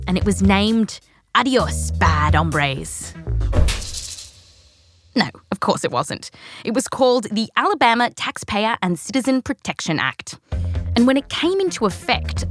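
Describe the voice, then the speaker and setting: An Australian woman hosting a science podcast in a scripted narration with playful, jokey asides. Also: mid-range voice. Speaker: podcast host.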